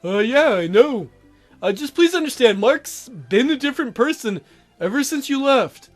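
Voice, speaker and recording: An awkward teenage boy from a dating sim, as a man reads him, talks in a high, hesitant voice. He is offhand and mildly reproachful, with faint music underneath. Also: expressive, normal speed. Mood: explaining. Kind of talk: dating sim dialogue read aloud.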